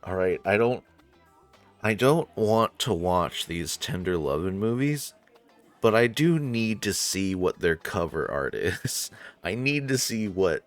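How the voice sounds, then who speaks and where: A man's voice: sits low, expressive, takes it slowly, faint music behind. A man reading game narration aloud as a let's player, adding casual, joking commentary. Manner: joking, conversational.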